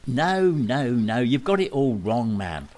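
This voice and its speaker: A man's voice: mid-range voice, big pitch swings, takes it slowly, a little mellow, a little hiss or hum. An amateur actor playing a sly male street showman who hawks a stunt to a lady with theatrical sales patter, read as a radio play. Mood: eager.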